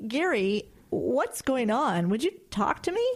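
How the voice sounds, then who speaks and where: A woman's voice: fairly high pitch, sing-song, measured pace, heavily acted. A woman playing a strict, worried mother who scolds her son. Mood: scolding. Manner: scolding, exasperated. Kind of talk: horror audio drama.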